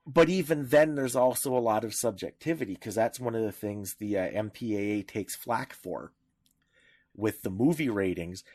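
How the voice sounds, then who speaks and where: A man's voice: fairly low pitch, moderate pace, darkish tone, dry recording. A man co-hosting a podcast in casual discussion, talking in a blunt, irreverent way. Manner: blunt, irreverent, freely profane. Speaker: podcast co-host.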